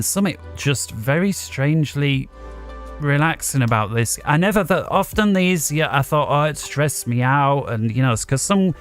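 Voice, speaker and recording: Man thinking aloud over game music. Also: medium pitch. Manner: thinking aloud while playing. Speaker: gaming video host. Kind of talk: casual gameplay commentary.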